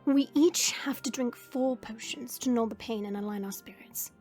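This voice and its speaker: Woman voicing a high, solemn dragon queen, music behind. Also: darkish tone, close to an everyday voice. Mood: solemn reassurance. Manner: measured, formal, deliberate phrasing. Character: regal female dragon queen, solemn, earnest and resolute. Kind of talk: scripted audio roleplay acting with first-person narration. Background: background music.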